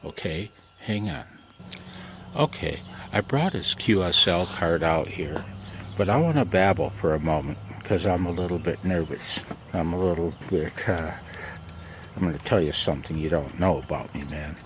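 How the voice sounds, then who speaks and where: Low gravelly guy rambling casually, kinda self-deprecating, outside with some background noise. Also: normal speed. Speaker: amateur radio vlogger. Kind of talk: casual chat. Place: outdoors in a backyard garden and deck.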